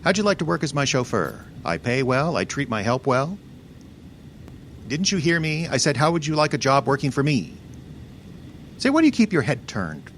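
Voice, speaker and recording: A man's voice: mid-range voice, big pitch swings, normal speed, long pauses, fairly bright tone, slight background noise. An actor playing an irascible, domineering rich older man, imperious and sputtering, who turns cowardly under threat, in old-time radio horror style. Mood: gruff, self-satisfied. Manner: blustering, imperious, sputtering and stammering.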